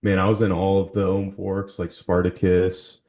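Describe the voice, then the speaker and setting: A low-pitched male podcast host talks in a casual, enthusiastic way, using relaxed slang as he presents and interviews. The recording is noticeably roomy and echoey. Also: moderate pace. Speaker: podcast host and company co-founder. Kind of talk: podcast introduction and conversational interviewing.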